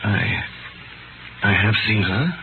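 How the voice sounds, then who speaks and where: An actor in a 1930s radio drama playing a grave, sorrowful physician, solemn, measured and melancholy. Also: male voice, lowish voice, expressive. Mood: wary, grave. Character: grave, sorrowful imprisoned French physician recounting his ordeal. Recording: scratchy narrow 1938 radio broadcast.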